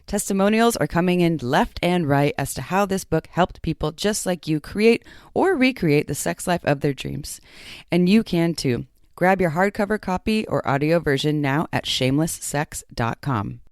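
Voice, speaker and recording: A woman's voice: on the low side, expressive, moderate pace, no echo, clean studio sound. A woman hosting a podcast, speaking in a playful, informal and upbeat way. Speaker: podcast co-host. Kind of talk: upbeat promotional reading and casual podcast chat.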